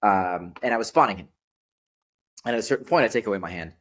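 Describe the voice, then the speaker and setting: A young man with a deep, low voice talks casually as a podcast guest. He tells stories with lively energy, often pausing on an "um" before pressing on. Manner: animated storyteller, frequent ums. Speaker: guest, startup founder.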